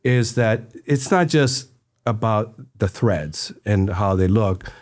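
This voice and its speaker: A man's voice: fairly low pitch, takes it slowly, a little mellow. A man co-hosting a podcast, talking casually with frequent fillers. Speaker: podcast co-host. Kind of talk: interview conversation.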